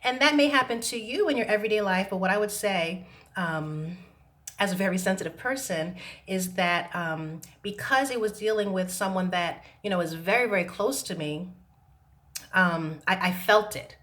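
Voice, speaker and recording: A woman talking to camera about self-healing, hesitant with many pauses and restarts, her voice still a little rough from laryngitis. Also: mid-range voice, a bit bright. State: recovering from laryngitis, slight roughness. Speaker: self-help vlogger. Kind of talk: reflective talk to camera. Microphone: camera mic.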